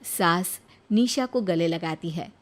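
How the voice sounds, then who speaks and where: A woman's voice: lowish voice, slow pace. A woman narrating a scripted moral story as a storyteller.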